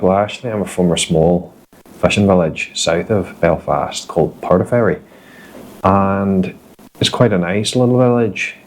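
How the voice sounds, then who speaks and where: A man voicing a wry, deadpan villager with a Northern Irish accent. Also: low-pitched, low-quality recording.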